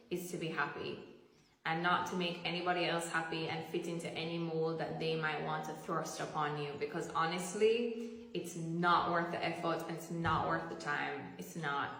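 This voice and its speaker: A woman's voice: fairly low pitch, a bit bright, very echoey, big-room sound. A woman actress speaking earnestly and candidly in a personal vlog.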